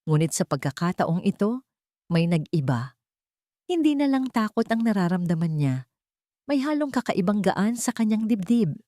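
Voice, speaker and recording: A woman's voice: mid-range voice, sing-song, normal speed, dark tone, dry recording. A woman narrating a scripted romantic drama in an emotive storytelling manner.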